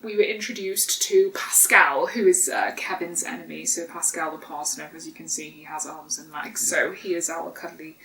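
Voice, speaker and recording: A woman's voice: low-pitched, fairly even intonation, moderate pace, creaky, hardly any pauses, fairly bright tone, slight background noise. Woman, sniffly with hay fever, hesitant casual chatter, recorded roomy and a bit far off. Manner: hesitant, frequent ums. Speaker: co-host. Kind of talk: casual chat. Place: home living room sofa.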